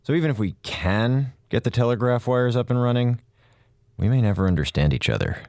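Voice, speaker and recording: A man's voice: mid-range voice, animated, a little fast, darkish tone, no room sound, clean studio sound, lightly acted. A man playing a wry, anxious and chatty space station crewman in an audio drama, speaking with sarcasm and hesitation. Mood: uneasy.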